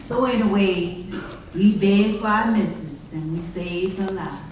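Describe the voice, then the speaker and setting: A woman performs an earnest, plainspoken former slave recalling the past in folksy dialect. The delivery is slow and carries from far away through a very echoey hall. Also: mid-range voice, darkish tone, natural voice. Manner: folksy dialect, measured storytelling. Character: formerly enslaved person recalling plantation life, earnest, plainspoken. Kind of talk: readers theater monologue performed on stage. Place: church hall. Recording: distant mic, very echoey hall, faint noise.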